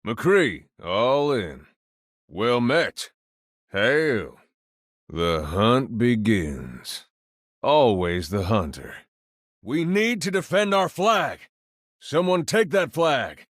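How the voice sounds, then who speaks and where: A solemn hunter in a video game. He delivers clipped phrases with long, deliberate weight and a widely moving pitch, recorded dry. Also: sing-song, no echo, full character voice. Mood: urgent. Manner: terse, deliberate. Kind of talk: video game character lines.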